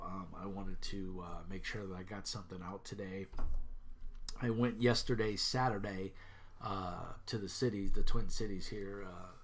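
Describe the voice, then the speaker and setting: A man in his thirties with a stuffy head cold talks through his vlog in a slightly low voice. He rambles at an easy pace, full of fillers and restarts. He sounds like a regular reviewer chatting casually to his viewers. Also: a bit bright. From Minnesota. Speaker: YouTube reviewer of tabletop games.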